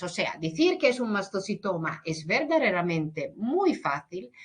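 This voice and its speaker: A woman's voice: medium pitch, fairly bright tone. A woman giving a veterinary webinar lecture over a video call, speaking hesitantly and restarting words. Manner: hesitant, restarts words, mixes in English and Portuguese.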